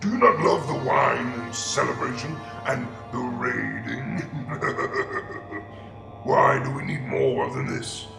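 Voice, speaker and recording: Slow, gravelly, brutal orc chief. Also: male voice, medium pitch. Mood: blustering, heated. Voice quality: gravelly growl. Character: brutal hulking male orc war chief, scheming and blustering. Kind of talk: fantasy audio drama acting. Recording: roomy echo, loud background music.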